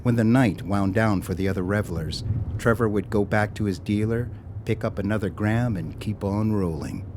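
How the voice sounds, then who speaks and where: An actor as the narrator of an audio drama, telling a scripted story in a wry, sardonic way. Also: male voice, fairly low pitch, normal speed, noisy background, natural voice. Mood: wry, measured.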